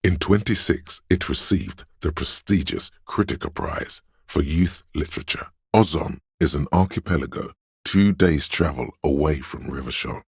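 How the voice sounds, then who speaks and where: A man voicing an erudite inner voice of encyclopedic knowledge in a video game, narrating lore in a measured, dryly sardonic manner with a gravelly, husky tone. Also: rumbling low, dry recording, clean studio sound, heavily acted.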